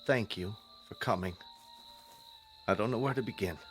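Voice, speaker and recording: An actor playing a humble, lovesick young man in a radio drama, halting and pleading. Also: lowish voice, soft background music, natural voice. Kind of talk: dramatic radio play acting.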